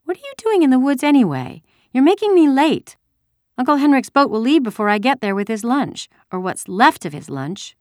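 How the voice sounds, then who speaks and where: Woman putting on a high, pert little girl. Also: very animated, moderate pace, a little mellow, no room sound, studio quality. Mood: angry, indignant. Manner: silly chatter, pert and indignant. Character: young girl, chattering, bold and exasperated. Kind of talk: audiobook character dialogue.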